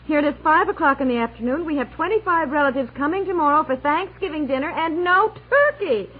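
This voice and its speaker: Flustered housewife on tinny old radio. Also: female voice, high voice, expressive, fast. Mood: fretful. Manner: fretful, breathless run-on complaining. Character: flustered, exasperated wife and mother. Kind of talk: 1950s-60s radio comedy acting.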